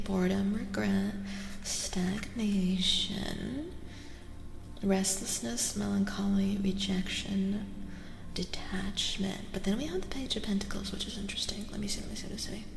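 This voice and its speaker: A woman speaking softly in a casual ASMR style as a streamer giving a tarot reading, gentle and unhurried, often dropping into whispers. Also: mid-range voice, vocal fry. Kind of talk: soft-spoken ASMR, casual live chat and reading. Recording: echoey reverb with soft music.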